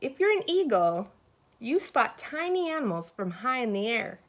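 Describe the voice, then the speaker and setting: A woman teacher reading a children's picture book aloud expressively, pausing to put questions and asides to her young listeners. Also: fairly high pitch, big pitch swings, fairly bright tone.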